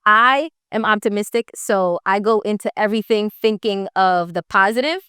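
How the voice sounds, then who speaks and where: A young woman from Jamaica answers interview questions in an upbeat, motivational way. Her voice is smooth and clear, recorded dry and close with no room sound. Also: medium pitch, normal speed, fairly bright tone, dry recording. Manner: upbeat, motivational, says "right?" often. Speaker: interview guest, entrepreneur. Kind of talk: casual interview.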